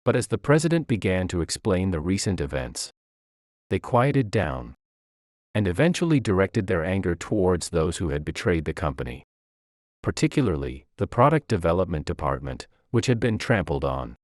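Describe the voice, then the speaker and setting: A man's voice: low voice, sing-song, normal speed, no echo, clean studio sound. A man reading a scripted first-person story as narrator.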